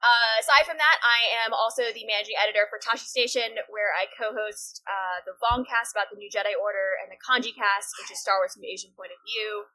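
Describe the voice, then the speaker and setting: A woman introducing herself on a fan panel, with a loud, playful way of talking. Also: mid-range voice, a little fast, hardly any pauses, low-quality recording. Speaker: panelist, writer. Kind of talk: convention panel chat.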